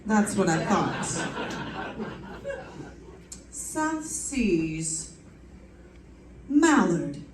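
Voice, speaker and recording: A woman's voice: medium pitch, big pitch swings, very slow, long pauses, a little hiss or hum. Echoey, sassy radio detective dame played by a woman. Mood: flirtatious. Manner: wisecracking, arch, theatrical delivery. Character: sassy, flirtatious woman private detective narrating her own case. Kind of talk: old-time radio detective drama acting. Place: live stage performance in an echoey room. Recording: echoey room, distant mic, music behind.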